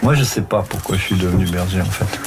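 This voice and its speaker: A man's voice: low voice, fast, very noisy. A man in his sixties, a shepherd, talking casually and plainly while herding on a mountain pasture. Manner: relaxed, plain-spoken, teasing.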